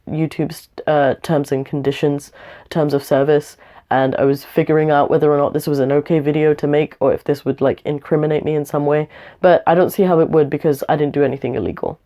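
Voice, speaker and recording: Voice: medium pitch, steady tone, a little fast, warm tone. A young adult vlogger telling a story in a casual, rambling way, with lots of fillers and small laughs, and a voice that is a bit off from having the flu. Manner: rambling, chatty, frequent fillers and little laughs.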